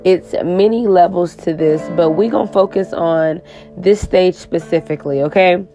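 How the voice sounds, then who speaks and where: A woman life coach with a raspy voice, giving a spiritual coaching talk and tagging her lines with "okay?". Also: fairly low pitch, normal speed, mellow. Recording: guitar music playing under the voice.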